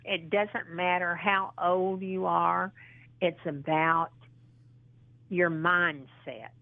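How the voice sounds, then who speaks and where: A woman in her sixties with a low voice talks slowly over a tinny phone line. She is earnest and encouraging. She often stops with ums and starts her sentences over. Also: fairly even intonation, no room sound. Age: 60s. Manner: halting, with frequent ums and restarts. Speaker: interview guest, model and actress. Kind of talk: casual phone interview.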